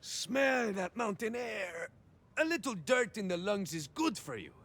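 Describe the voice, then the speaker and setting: A man's voice: high-pitched, very animated, a little slow, fairly bright tone, full character voice. An actor playing a cocky, rambling cyborg ex-soldier in a video game, with a Mexican-American accent and bits of Spanish mixed into his hesitant, theatrical talk. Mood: musing, wry. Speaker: playable game character. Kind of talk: video game idle monologue acting.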